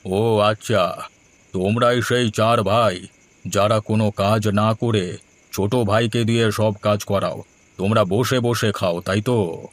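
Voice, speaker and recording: A man voicing a solemn, humble holy hermit in a cartoon, measured, formal and admonishing. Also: on the low side, fairly even intonation. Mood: stern. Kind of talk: Bengali moral-tale cartoon voice acting.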